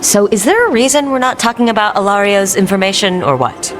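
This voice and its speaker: An actor playing a composed, warm and playful adventurer hero in a video game cutscene. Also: medium pitch, lively intonation, brisk, music in the background, natural voice. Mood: wry, composed.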